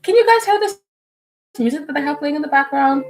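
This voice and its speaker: A young woman from the Bahamas, a medical student, chatting casually with viewers on a livestream at home, animated and full of fillers and laughs. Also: sits high, fairly quick. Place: indoors at home. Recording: soft music playing behind.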